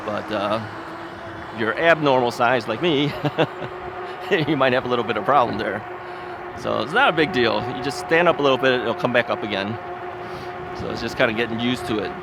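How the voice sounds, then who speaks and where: A man talks casually into a bike-mounted camera as he rides along a city street. His delivery is rambling and folksy, full of filler words and little chuckles. Cars and street noise come through clearly behind him. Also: mid-range voice, normal speed. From United States. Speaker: ebike video host. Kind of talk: casual chatty vlog while riding. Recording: outdoor street recording with traffic noise. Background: traffic and street noise.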